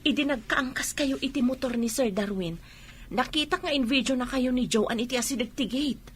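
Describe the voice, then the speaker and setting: A woman voicing a gossipy young friend with a high voice. She passes on what she saw in a quick, conspiratorial way. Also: slight background noise, close to an everyday voice. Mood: gossiping.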